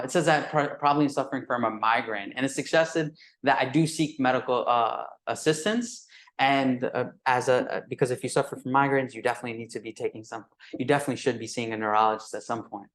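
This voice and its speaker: A man in his twenties whose first language is Urdu, giving a live software demo at a meetup, halting with many fillers and restarts and tagging points with "right?". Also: medium pitch, fairly even intonation. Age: 20s. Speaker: teacher presenting at a meetup.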